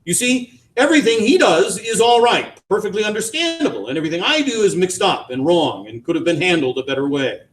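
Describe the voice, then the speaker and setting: A man reading aloud and voicing a bitter, defensive middle-aged mother, raising his pitch into a sharp, accusing tone. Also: sing-song, normal speed, hardly any pauses, reverberant, poor audio.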